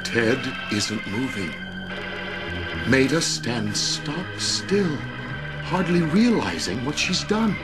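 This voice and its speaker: A grave old-time radio announcer. His mid-pitched narration rises and falls dramatically above heavy organ music. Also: big pitch swings, slow. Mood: grave, suspenseful. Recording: loud organ music behind.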